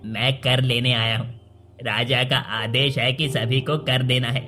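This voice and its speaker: Man playing a bright-voiced scheming royal minister. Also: medium pitch, flat delivery, unhurried. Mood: brusque. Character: scheming greedy royal minister, male, sly and deferential. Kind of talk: Hindi cartoon story acting. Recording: music under the voice.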